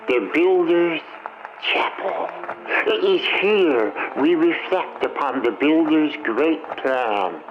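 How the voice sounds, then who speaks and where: An actor playing a pompous, falsely gracious zealot inventor in a video game, preaching grandly to noble guests in archaic thee-and-thy speech. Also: male voice, on the high side. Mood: reverent, sanctimonious. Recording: dull, noisy, radio-like playback of a recorded message.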